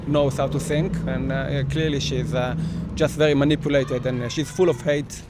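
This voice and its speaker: A man from Israel with an Israeli accent who speaks haltingly, with many pauses and fillers. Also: medium pitch, steady tone, heavy background noise.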